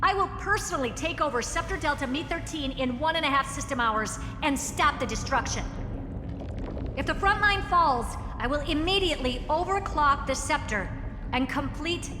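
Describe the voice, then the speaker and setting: A woman's voice: high-pitched, animated, normal speed, background noise. An actress voicing a confident, haughty young genius woman in a video game, with self-assured, commanding delivery. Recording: echoey hall reverb over music.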